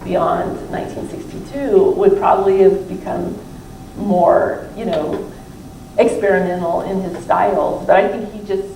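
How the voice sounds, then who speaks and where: A woman's voice: mid-range voice, fairly even intonation, measured pace, mellow. A woman, a professor, talks informally and discursively about her book during a question-and-answer discussion, often qualifying her own points. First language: not French (non-native French speaker). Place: library event room, recorded from a distance. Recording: roomy, distant mic with faint room noise.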